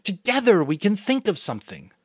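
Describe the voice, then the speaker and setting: A man's voice: high voice, wildly swooping pitch, a little fast, slightly rough, dry recording, voice pushed up, full character voice. A man voicing an earnest young boy who speaks eagerly and turns indignant. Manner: eager, pleading, rising to anger.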